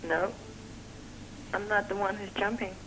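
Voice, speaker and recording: A woman's voice: medium pitch, steady tone, measured pace, fairly bright tone, a little hiss or hum, poor audio. A woman on the telephone, answering a presenter's questions in a shy, giggly way. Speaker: caller.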